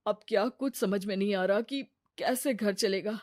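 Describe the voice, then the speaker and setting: A woman voicing a mild, supportive young husband in a measured, serious manner in a story. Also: mid-range voice, no room sound, studio quality, lightly acted. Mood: worried.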